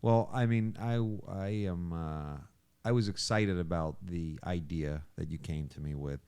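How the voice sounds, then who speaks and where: A man's voice: sits low, a little slow, darkish tone, clean tone. A man casually interviewing people as a podcast host.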